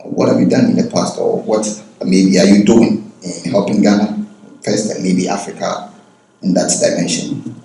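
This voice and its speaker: A man's voice: deep voice, steady tone, moderate pace, creaky, warm tone. A man interviewing a guest, asking long rambling questions. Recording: very echoey room, faint background noise.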